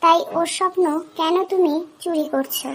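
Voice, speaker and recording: Woman voicing a very high-pitched helpful cartoon fox. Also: normal speed, fairly bright tone, slight background noise, low-quality recording. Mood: scolding. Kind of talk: children's cartoon voice acting.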